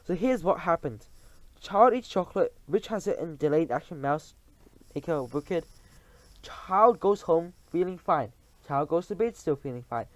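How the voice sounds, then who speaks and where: A man's voice: fairly high pitch, expressive, measured pace, no room sound. A man reading aloud and voicing a tiny, tyrannical female witch leader who shrieks orders in stilted, odd grammar. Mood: lecturing.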